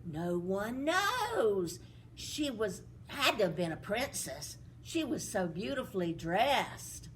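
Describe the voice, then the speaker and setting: A woman's voice: mid-range voice, big pitch swings, takes it slowly, a bit bright, slight background noise. A woman voicing a gossipy, boastful stepsister who drawls out her bragging.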